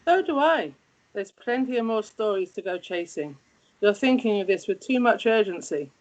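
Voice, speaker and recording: A woman's voice: medium pitch, expressive, normal speed, lightly acted, poor audio. A woman acting a wry, loving, no-nonsense middle-aged scientist mother in a screenplay table read, teasing and emphatic.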